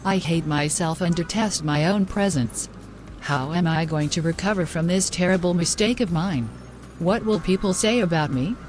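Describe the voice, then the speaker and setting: A woman's voice: lowish voice, moderate pace, a little mellow, a little hiss or hum, natural voice. A woman playing a distraught, self-reproaching young woman in a scripted moral-story drama. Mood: self-loathing, anguished.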